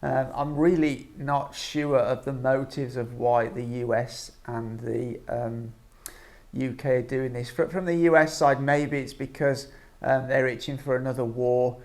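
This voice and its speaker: Gravelly-voiced man giving halting opinion commentary. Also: mid-range voice, fairly even intonation. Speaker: online vlogger giving political commentary. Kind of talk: informal opinion commentary to camera.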